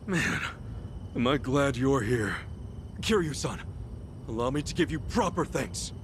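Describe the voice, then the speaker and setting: A man playing a brash, earnest, excitable gangster hero in a video game cutscene, expressive and slangy, moving between mutters and outbursts. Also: mid-range voice, a little slow, a little hiss or hum. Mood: grateful. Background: music.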